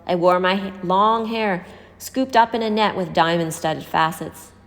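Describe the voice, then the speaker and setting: A woman's voice: on the low side, measured pace. Woman voicing a wistful, motherly woman in echoey reverb. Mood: wistful, fond. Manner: reminiscing, fond, motherly. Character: gentle, wistful woman caring for street children.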